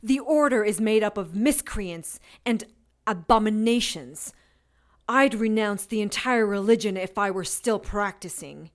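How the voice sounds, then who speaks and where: A woman's voice: medium pitch, expressive, measured pace, close to an everyday voice. An actress playing a defiant woman held prisoner in an audio drama, biting and full of scorn. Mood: scornful.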